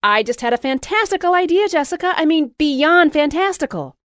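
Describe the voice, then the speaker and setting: A woman voicing an overexcited female literary agent who shouts in breathless exclamations and repeats her words. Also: high-pitched, animated, a little fast, dry recording, studio quality, pitched above the performer's own voice. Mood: excited.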